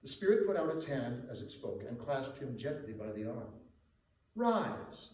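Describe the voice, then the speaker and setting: A man narrating classic fiction as a dramatic storyteller, with theatrical, measured and deliberate phrasing. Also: mid-range voice, animated, darkish tone. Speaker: storyteller, performer. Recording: echoey room, distant mic, faint noise.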